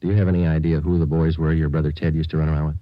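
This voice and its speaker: Low, clipped deadpan police detective. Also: male voice, racing, dry recording. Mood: gently businesslike. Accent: American. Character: terse, businesslike police detective sergeant, narrating his own case. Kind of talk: 1950s radio crime drama acting. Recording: dull vintage radio recording, music behind.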